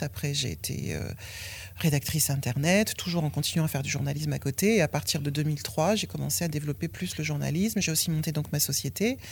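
A woman's voice: sits low, creaky, a little mellow. Blunt outspoken French woman. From France. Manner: frank and blunt, swears, rising indignation. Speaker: interviewee, former athlete. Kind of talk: candid personal testimony.

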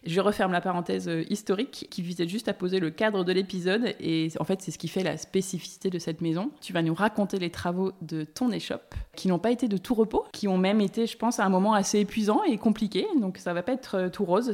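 A woman's voice: mid-range voice, darkish tone. A woman hosting a podcast interview in a conversational, informal way.

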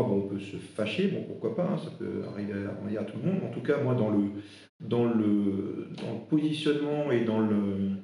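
A man from eastern France whose first language is Alsatian, an interim HR director answering podcast interview questions in a halting way, full of fillers and restarts. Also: mid-range voice, creaky, dark tone. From eastern France, Alsace. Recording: very echoey room with faint noise.

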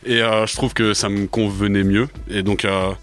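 A man's voice: fairly low pitch, flat delivery, normal speed, a bit bright. A man in his twenties, a native English speaker, answering a radio interview in a studio with many hesitations and fillers. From France. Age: 20s. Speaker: rapper guest.

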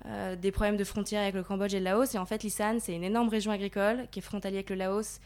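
A woman's voice: medium pitch, little pitch movement, quick pace, darkish tone, smooth. A woman in her twenties from the Paris region, answering interview questions in a thoughtful, explanatory way with frequent fillers. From Paris region, France. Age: 20s. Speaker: guest, volunteer.

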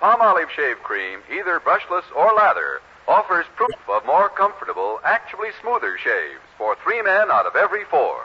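A man reads an ad in 1940s radio style. His fairly high, husky voice moves up and down a great deal, on a muffled old recording. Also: wildly swooping pitch, normal speed, hardly any pauses. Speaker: commercial announcer. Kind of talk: 1940s radio scripted ad read.

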